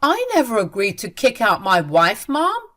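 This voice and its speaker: A woman voicing an angry grown son who confronts his mother in a loud, stern and accusing voice. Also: medium pitch, big pitch swings, moderate pace. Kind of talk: storybook narration.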